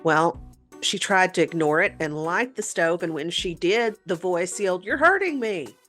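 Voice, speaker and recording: A woman's voice: on the low side, animated, moderate pace, a bit bright, faint music behind. A woman co-hosting a paranormal show, telling a story in a conversational way.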